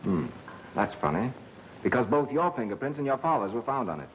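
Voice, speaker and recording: An American actor playing a brisk, bossy amateur sleuth with a wry edge, in 1930s film style. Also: male voice, mid-range voice, sing-song, natural voice. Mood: probing, wry. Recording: dull, noisy vintage film soundtrack.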